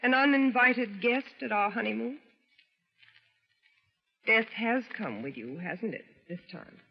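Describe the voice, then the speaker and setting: A woman's voice: a little high, lively intonation, unhurried, vocal fry, a little hiss or hum. A woman playing a devoted, defiant wife in a vintage radio drama, with earnest, insistent, theatrical delivery. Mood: fatalistic, tense. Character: devoted, defiant young wife of an unstable husband. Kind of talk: mid-century American radio drama acting. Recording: vintage radio, muffled, long reverb.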